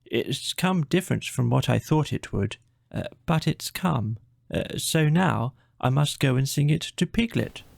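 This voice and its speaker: A man voicing a gentle, thoughtful bear who fancies himself a poet, speaking hesitantly in a storybook audio play. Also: medium pitch, lively intonation, unhurried, gravelly, dry recording, clean studio sound, exaggerated voice. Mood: modest. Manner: hesitant, thoughtful, with small ums. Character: gentle, musing bear of little brain, amateur poet.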